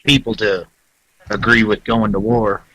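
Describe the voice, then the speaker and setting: Man with a sharp, forceful voice. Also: on the low side, level tone, measured pace, no room sound, highs cut off. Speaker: participant in an online group debate. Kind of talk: casual debate.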